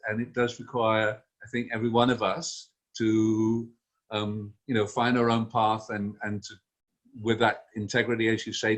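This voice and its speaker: Male moderator, lowish voice, measured, video call. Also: a bit bright. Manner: measured, thoughtful, fills pauses with um. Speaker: webinar moderator. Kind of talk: closing remarks on a video call.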